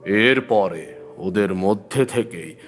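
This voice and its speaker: Casting: a man as a grave, composed occultist narrator, deep-set pitch and unhurried, deliberate pacing for horror audio drama. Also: faint music behind. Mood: grave, reflective. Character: calm male tantric occultist recounting a village horror.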